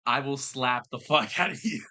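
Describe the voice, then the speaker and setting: A young man with a Southern accent who works in fast food, telling a work story in casual chat. He swears often, laughs a lot and acts out the people he quotes. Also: medium pitch, a loud crowd. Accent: American Southern. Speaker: podcast co-host.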